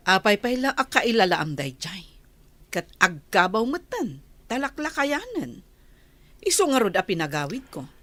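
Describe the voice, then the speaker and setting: A woman's voice: medium pitch, very animated, measured pace, fairly bright tone, no room sound, soft background music. A woman with an Ilocano accent playing a proud, commanding mistress of a mansion in a radio drama.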